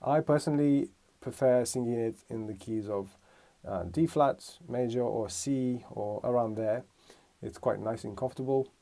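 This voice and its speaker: A man's voice: medium pitch, moderate pace, hardly any pauses, mellow. A man from Romania giving a casual music lesson, thinking aloud and correcting himself as he explains. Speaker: music teacher.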